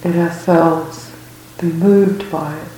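Woman, meditation teacher, mellow soft-edged tone, gentle short phrases, long pauses, very reverberant room with faint noise. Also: deep voice, steady tone. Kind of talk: guided meditation. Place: reverberant indoor room at a retreat.

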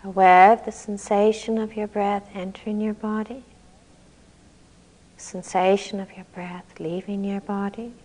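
A woman leading a guided meditation as a teacher, speaking gently and calmly in unhurried phrases with long pauses. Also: mid-range voice, a little hiss or hum, poor audio.

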